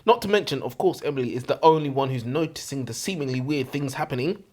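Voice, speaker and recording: A man's voice: medium pitch, fast talker, darkish tone. A man co-hosting a casual book review chat, speaking in a blunt, exasperated, sweary way. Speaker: co-host of a book review show.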